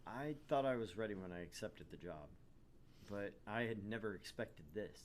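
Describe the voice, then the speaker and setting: A man's voice: lowish voice, expressive, normal speed, slight background noise. A man hosting a let's play, chatting casually and reading a visual novel's narration aloud. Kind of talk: gaming chat and first-person story read-aloud.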